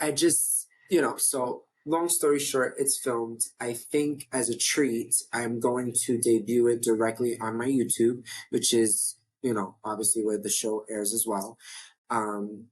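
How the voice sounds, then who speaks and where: A man from New York with a deep voice, hosting his own online show in a casual, rambling talk to camera that often restarts mid-sentence. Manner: chatty, rambling, self-interrupting. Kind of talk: casual talking-to-camera update.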